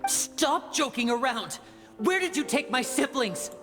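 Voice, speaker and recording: A woman's voice: high voice, animated, a little slow, a bit bright, long reverb tail, soft background music. A woman voicing an earnest, defiant young man, a magician, in an anime game, intense and accusing. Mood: angry challenge. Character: earnest, defiant young male magician protecting his siblings.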